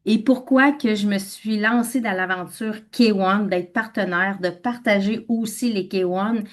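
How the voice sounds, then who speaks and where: A woman's voice: mid-range voice, measured pace. A middle-aged woman with a Québécois French accent, giving an emphatic personal testimony as a webinar speaker and repeating words for stress.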